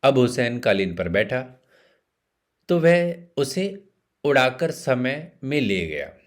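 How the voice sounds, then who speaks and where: Male narrator for bedtime tales, mid-range voice, unhurried and measured, with pauses between phrases. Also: medium pitch, sing-song. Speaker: storyteller. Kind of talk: scripted bedtime story narration.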